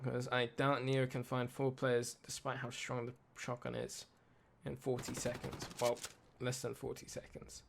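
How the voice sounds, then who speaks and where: A man commentating a competitive shooter match play by play, tired and a little raspy late at night. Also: mid-range voice, monotone, moderate pace, hardly any pauses, noisy background. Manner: self-deprecating, talks through tech trouble. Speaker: esports caster.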